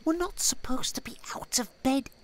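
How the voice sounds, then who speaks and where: A woman voicing a scrappy, excitable, reluctant teenage boy student in a fantasy audio drama. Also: high voice, normal speed, whispered, lightly acted. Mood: grumbling.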